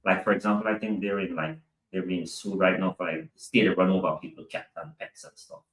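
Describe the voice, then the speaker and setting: A man talking on a podcast in an animated, emphatic way and swearing casually. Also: sits low, brisk. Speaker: podcast guest, cybersecurity specialist. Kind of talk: casual chat. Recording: echoey room, mic at a distance.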